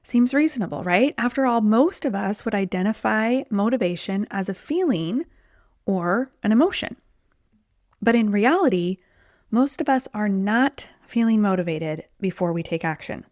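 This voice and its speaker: A woman's voice: medium pitch, lively intonation, moderate pace, darkish tone, no echo. Podcast lady coach, chatty with lots of likes and ums, voice a bit off from being sick, dry close mic. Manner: chatty, conversational, says "like" and "um" often. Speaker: podcast host and weight loss coach. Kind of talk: solo podcast talk.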